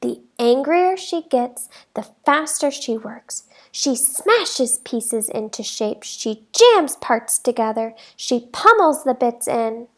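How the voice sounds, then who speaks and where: Woman, high voice, slow pace, expressive storybook read-aloud, emphatic teacherly phrasing. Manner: deliberate, emphatic phrasing for young listeners. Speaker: teacher reading a picture book aloud.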